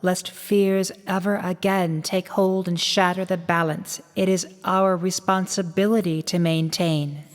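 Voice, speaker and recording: A woman playing a wise, patient princess telling history in a fan-made radio drama, stately and measured. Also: lowish voice, fairly even intonation, natural voice. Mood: solemn, patient. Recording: long hall reverb.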